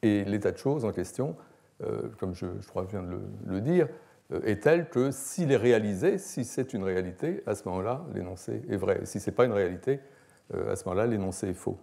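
A man, a philosophy professor giving an academic lecture in a measured, explanatory, hesitant manner. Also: fairly low pitch, animated, vocal fry, warm tone. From France. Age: 60s. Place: lecture hall, at a lectern.

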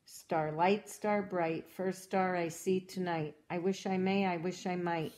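A woman reading a children's storybook aloud as a cheerful young girl explorer, in a gentle, encouraging way that asks the listener questions. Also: lowish voice, fairly even intonation, unhurried, natural voice. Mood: upbeat. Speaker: storybook reader.

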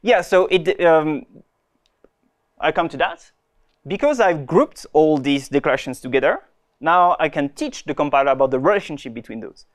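Young man giving a halting tech talk. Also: on the high side, animated. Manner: hesitant, many restarts and fillers. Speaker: conference speaker. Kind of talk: technical conference talk. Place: conference room.

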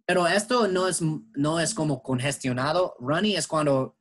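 A man's voice: on the high side, expressive, fairly quick, a crowd in the background. Patient bilingual male teacher. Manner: patient, switches between English and Spanish. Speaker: English teacher. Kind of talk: online language class.

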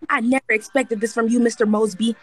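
A woman's voice: on the high side, steady tone, quick pace, soft background music. A young woman trading blunt, teasing, foul-mouthed comic banter as a guest on a livestream. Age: young adult. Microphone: phone at arm's length.

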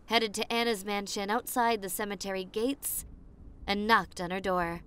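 Slow-spoken, sweet popular girl, acted by a woman. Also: medium pitch, smooth, natural voice. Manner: soft, intimate, giggly, telling a spooky story slowly. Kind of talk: ASMR roleplay.

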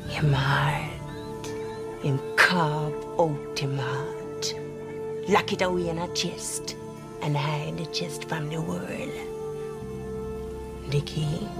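Slow, low Caribbean swamp mystic. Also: female voice, fairly even intonation, full character voice. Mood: knowing, ominous. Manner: slow, deliberate, drawn-out. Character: mysterious swamp mystic woman, knowing and slow. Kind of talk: audio drama acting. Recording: loud music under the voice.

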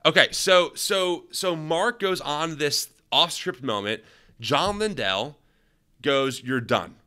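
Bright-voiced man, animated co-host. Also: a little high, takes it slowly. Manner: animated, restarts a lot, explains and narrates clips. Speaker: podcast co-host. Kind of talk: casual commentary on clips. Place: small room at home. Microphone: studio mic on arm, video call.

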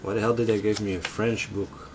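A man's voice: fairly low pitch, flat delivery, brisk, a little hiss or hum, poor audio. A man with a Dutch accent giving casual unboxing commentary, thinking aloud in a hesitant way. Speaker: tech reviewer. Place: indoors at a desk in a room.

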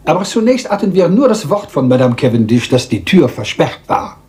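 An actor playing a small, self-assured Belgian detective with a French accent, theatrical and politely lecturing in a radio crime drama. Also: male voice, on the high side, very animated, darkish tone. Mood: explaining, confident. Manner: theatrical, deliberate, polite, lecturing, teasing rhetorical questions.

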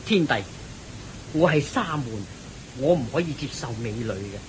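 A man's voice: fairly high pitch, expressive, moderate pace, close to an everyday voice, rough recording. Man as an earnest monk with swooping intonation. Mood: earnest, calm. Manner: earnest, admonishing. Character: earnest male monk bodhisattva, principled and naive. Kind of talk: radio drama acting.

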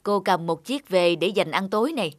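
A man's voice: high voice, animated, moderate pace, dry recording. A man playing a cheerful, generous young neighbour in a cartoon, upbeat and caring. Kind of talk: cartoon dialogue.

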